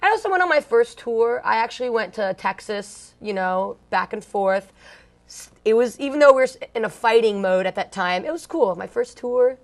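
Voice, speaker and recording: A young woman from Long Beach, California, talks through her musical past in a relaxed interview. Her speech is loose and chatty, packed with fillers and slang. She is blunt and drops the odd curse without a second thought. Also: fairly high pitch, moderate pace. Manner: blunt, slangy, swears casually. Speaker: punk band singer, interview guest. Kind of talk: casual storytelling interview.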